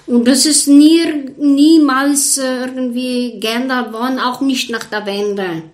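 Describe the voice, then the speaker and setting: An older woman from Bulgaria with a Bulgarian accent, a violinist recalling her past in an interview in slow, hesitant German full of fillers. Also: high voice, fairly even intonation, darkish tone. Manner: hesitant, many fillers, searching for German words. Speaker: orchestra violinist. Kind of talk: interview recollection.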